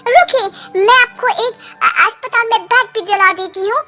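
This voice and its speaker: Woman voicing a very high, sweet, earnest young daughter-in-law. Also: moderate pace, pushed and bright, soft background music. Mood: concerned, helpful.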